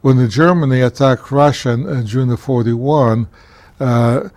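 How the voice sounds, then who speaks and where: A man in his seventies, from Poland, telling his life story earnestly and haltingly as a guest on a public access TV interview. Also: mid-range voice, darkish tone, no room sound. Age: 70s. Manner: earnest, halting, measured recollection. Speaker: guest author, former county commissioner.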